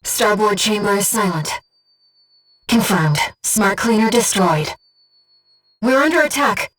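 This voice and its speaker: A measured, disembodied female mission operator. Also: medium pitch, animated, fairly bright tone, far from the mic, low-quality recording. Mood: composed, focused, then urgent, alert. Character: disembodied female mind-voice guiding a mech pilot, calm, devoted. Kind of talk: video game voice acting, mission operator dialogue.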